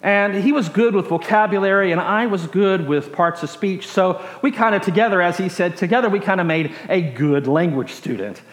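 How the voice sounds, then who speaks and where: An American man from Texas preaching through personal stories in a reflective, halting way with dry humorous asides. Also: high voice. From Texas, USA. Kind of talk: sermon storytelling. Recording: echoey room.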